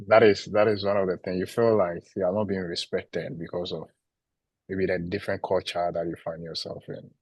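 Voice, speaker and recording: A man from Ghana with a Ghanaian English accent, giving measured interview answers about teaching. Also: sits low, level tone, darkish tone, slightly rough, dry recording, poor audio. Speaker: international PhD student and teacher.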